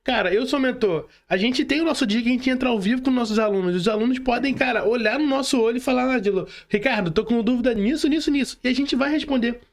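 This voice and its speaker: A man's voice: very high-pitched, fast, few pauses. A man with a Brazilian accent explaining things in a casual podcast chat, slangy and emphatic, often repeating his words. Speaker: podcast guest, affiliate marketing mentor.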